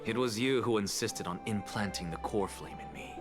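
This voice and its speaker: A man's voice: lowish voice, moderate pace, lightly acted. A man voicing an aloof, arrogant scholar in a game cutscene, cool and curt. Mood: cool, composed. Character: aloof, arrogant male scholar sharing his body with a titan. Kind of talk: scripted game cutscene dialogue. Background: music.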